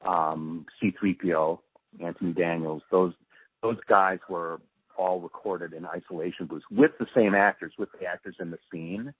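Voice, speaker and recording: A man being interviewed, giving reflective, halting answers as he thinks aloud through technical explanations. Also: low voice, steady tone, slightly rough, no echo. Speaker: interviewee, radio drama post-production supervisor. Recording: muffled narrow phone-like line.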